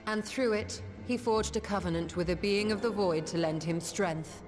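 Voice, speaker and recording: A woman's voice: medium pitch, moderate pace, lightly acted. A woman playing a learned, composed young scholar in a video game cutscene, articulate and formal as she explains. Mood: grave. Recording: prominent music behind.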